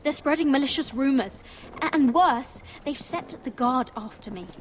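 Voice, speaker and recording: Composed young handmaiden. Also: female voice, sits high, normal speed, a little hiss or hum. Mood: worried. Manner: calm, firm, persuasive. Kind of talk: video game voice acting.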